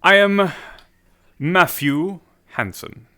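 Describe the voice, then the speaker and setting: A man playing a suave businessman in a suit, speaking in a smooth, formal and faintly sly way. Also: high-pitched, drawn-out words, pushed and bright, full character voice. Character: suave suited businessman with groomed hair. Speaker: streamer roleplaying a character. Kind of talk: improvised social-VR roleplay.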